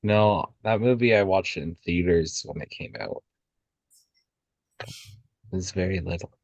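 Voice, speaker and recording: Low-pitched man in casual conversation. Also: a little slow, dry recording, rough recording. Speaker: table read participant. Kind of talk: casual chat about films and a script table read.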